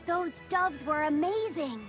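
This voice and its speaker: High-voiced, bookish young boy. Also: expressive, takes it slowly, a bit bright, heavily acted. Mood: gentle. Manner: studious, precise. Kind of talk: video game voice acting. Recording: music behind the voice.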